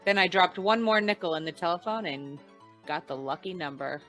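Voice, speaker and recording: A woman acts out a cool freelance investigator from an old noir radio serial. The voice sits on the low side, dry and deadpan, with a shrewd, wry edge. Music plays along with it. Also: normal speed, poor audio. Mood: deadpan narrating. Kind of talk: 1940s radio noir acting with narration.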